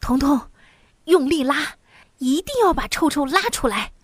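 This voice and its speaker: A woman's voice: sits high, animated, a little slow, dry recording, clean studio sound. A woman voicing a worried, soothing mother in a storybook. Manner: anxious, soothing. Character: fussing, caring mother.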